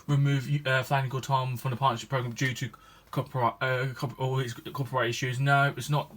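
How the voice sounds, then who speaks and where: A man's voice: mid-range voice, flat delivery, a little fast, fairly bright tone, slight background noise. A 21-year-old man, a gaming YouTuber chatting to his viewers in a rambling, hesitant way, full of restarts and ums. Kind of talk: casual vlog chat to viewers.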